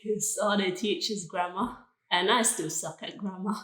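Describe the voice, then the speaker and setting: A woman's voice: medium pitch, normal speed, fairly bright tone, roomy sound. A woman in her twenties from Thailand, a native Thai speaker, giving short, plain answers in simple English as an interview guest. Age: 20s. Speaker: guest, university student.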